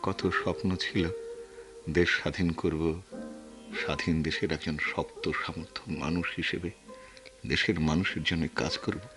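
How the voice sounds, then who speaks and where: Deep-voiced brooding war veteran played by an actor. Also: male voice, fairly even intonation, music in the background, natural voice. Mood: brooding, troubled. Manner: earnest, halting, reflective. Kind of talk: Bengali radio drama acting.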